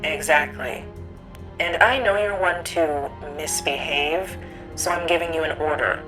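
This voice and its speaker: A woman's voice: sits low, unhurried, music in the background, poor audio. A woman playing a self-assured naval intelligence agent in an audio drama, speaking in a clipped, businesslike way. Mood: firm, familiar.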